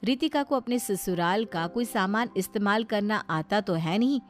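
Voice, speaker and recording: A woman's voice: medium pitch, moderate pace, soft background music. A woman narrating a scripted story as a storyteller.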